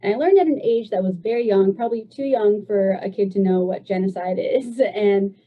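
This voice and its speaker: A woman's voice: mid-range voice, a little fast. Chatty young woman telling her story. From United States. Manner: loose and chatty, with frequent "um" and "like". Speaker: guest. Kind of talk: casual personal storytelling. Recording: dull lo-fi sound.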